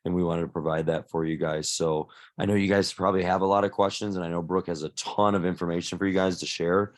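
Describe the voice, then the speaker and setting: Male coach host, very low steady voice, loose rambling intro. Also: quick pace. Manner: rambling, many restarts and fillers. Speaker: fitness coach hosting a workshop. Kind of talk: casual live introduction. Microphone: video call.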